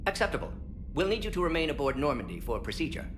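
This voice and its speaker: Rapid-fire eccentric alien scientist. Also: male voice, a little high, big pitch swings, noisy background. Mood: brisk, confident. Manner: rapid-fire clipped telegraphic phrases. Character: brisk, eccentric male alien scientist, confident and clipped. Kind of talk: video game character acting.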